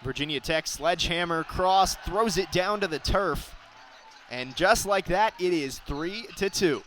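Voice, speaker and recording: A man's voice: high-pitched, unhurried, a bit bright. A young man calling baseball play-by-play for radio. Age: young adult. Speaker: play-by-play announcer.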